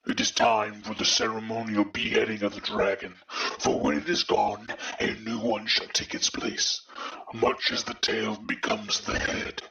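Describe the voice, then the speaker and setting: A man playing an aged, imperious master of a dragon clan in an audio drama, pompous and ceremonial, with a gravelly voice. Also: lowish voice, sing-song, unhurried, sharp tone, dull audio, a little hiss or hum, full character voice. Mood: solemn, ominous.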